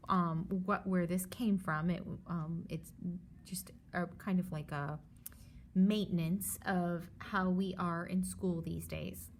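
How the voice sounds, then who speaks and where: A woman's voice: fairly low pitch, slight background noise. A woman teacher reading a children's book aloud, stopping to explain things simply and reassure her young listeners.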